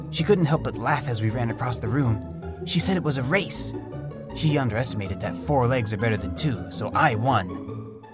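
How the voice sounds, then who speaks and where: A man as a curious four-legged young pet, gentle storybook narration, medium pitch, naive wonder, thoughtful pauses, music underneath. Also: lightly acted. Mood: playful. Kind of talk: first-person storybook audio drama narration.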